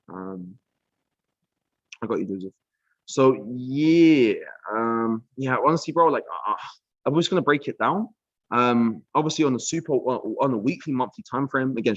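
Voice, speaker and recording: A young British man breaking down trading charts on a casual live stream, relaxed and chatty, full of "um", "bro" and "like". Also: medium pitch, no room sound, lo-fi sound. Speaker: trading mentor. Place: villa room.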